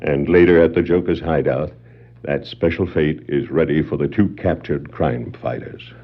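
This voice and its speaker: A man's voice: a bass voice, normal speed. A man giving formal, portentous scripted narration for a 1960s dramatic adventure record. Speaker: story narrator. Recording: dull 1960s vinyl record.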